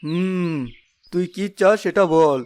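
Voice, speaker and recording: A man playing a kindly middle-aged father in a cartoon story, speaking in a measured, earnest way. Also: high-pitched, fairly even intonation, a little mellow, a little hiss or hum. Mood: questioning. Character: wealthy, kindly father of a young girl. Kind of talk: scripted cartoon dialogue. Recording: music behind the voice.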